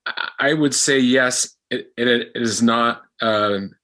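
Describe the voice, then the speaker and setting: A man talking reflectively in a discussion, earnest and often pausing to search for his words. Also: medium pitch, sharp tone. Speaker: podcast actor, guest. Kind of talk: reflective discussion of a podcast episode.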